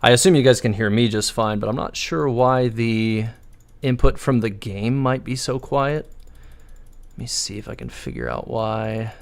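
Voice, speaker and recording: A man's voice: mid-range voice, animated, moderate pace, creaky. A man chatting casually on a live game stream, thinking aloud and explaining as he goes.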